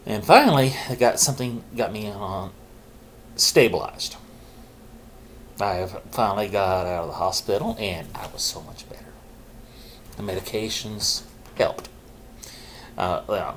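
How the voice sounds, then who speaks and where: A middle-aged man speaks in a fairly low voice, telling his own story in a candid, reflective way. He pauses, restarts and keeps his naturally loud voice reined in. A faint hiss sits under the recording. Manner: says he is keeping his loud voice low on purpose. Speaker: vlogger sharing his mental health story.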